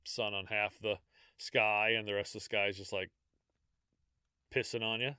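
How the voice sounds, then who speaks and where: A low-voiced American man with a slightly congested sound, recorded dry and close. He chats easily, laughs mid-story and swears without a second thought. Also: flat delivery, moderate pace, pushed and bright, clear, resonant tone, dry recording. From United States. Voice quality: a little congested. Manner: laughs through his stories, swears, drawling. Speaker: podcast co-host. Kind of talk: casual chat.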